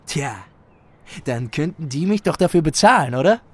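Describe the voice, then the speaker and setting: A man's voice: fairly high pitch, expressive, normal speed, voice pushed up. A man voicing a cocky, foul-mouthed young small-time thief in an audiobook, brash, sneering and slangy. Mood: sly, cocky.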